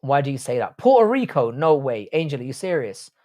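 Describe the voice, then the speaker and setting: A man's voice: mid-range voice, big pitch swings, a little fast, warm tone. A young man runs a casual live stream, reading out chat messages and firing back blunt, crude jokes. His voice sits in the middle of the male range. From Ireland, grew up in the UK. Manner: blunt, jokey, crude banter. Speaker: livestream host reading chat.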